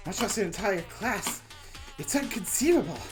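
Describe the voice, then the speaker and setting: A woman's voice: mid-range voice, lively intonation, moderate pace, over music, natural voice. A woman voicing a stern, protective teacher in a game, formal and indignant. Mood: shocked, formal. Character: stern, protective academy teacher standing up to threats. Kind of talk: hobby voice acting of a visual novel game.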